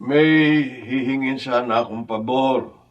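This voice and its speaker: An actor playing a devoted, middle-aged Filipino father in a radio drama, speaking earnestly and softly with a gravelly, husky voice. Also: mid-range voice, level tone, unhurried, highs cut off, natural voice. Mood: hesitant, gentle. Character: tender, devoted adoptive father and gentle husband. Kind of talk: Tagalog radio drama acting.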